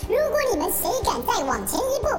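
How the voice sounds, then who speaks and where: A woman's voice: very high voice, wildly swooping pitch, quick pace, reverberant, over loud music, exaggerated voice. A woman playing a menacing, bossy hidden villain in a children's radio drama. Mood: threatening.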